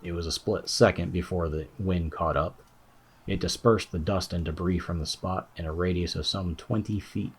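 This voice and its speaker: A man's voice: sits low, fairly even intonation, moderate pace, breathy edge. A man giving a scripted narration of a fantasy audiobook as its narrator.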